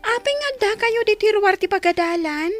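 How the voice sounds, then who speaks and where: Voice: very high voice, lively intonation, fairly quick, faint music behind. A performer acting a small, anxious, questioning grandchild in a radio drama.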